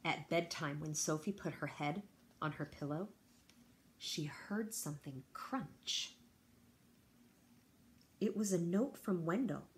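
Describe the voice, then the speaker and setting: A woman teacher reading a picture book aloud to children, expressive, with chatty asides to her young listeners. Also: deep voice, slow, long pauses, slight background noise.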